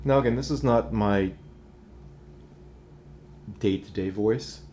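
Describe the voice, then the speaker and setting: A man talking through voice and breathing exercises in a relaxed, conversational way. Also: fairly low pitch, slow, slight background noise. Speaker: voice coach. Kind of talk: instructional talk.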